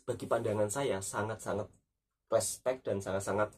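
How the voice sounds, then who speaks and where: Very husky man. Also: fairly low pitch, steady tone, moderate pace, darkish tone, recorded from a distance. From Indonesia. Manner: emphatic, repeats points, frequent tag questions. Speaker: host of a reaction talk. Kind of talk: conversational commentary.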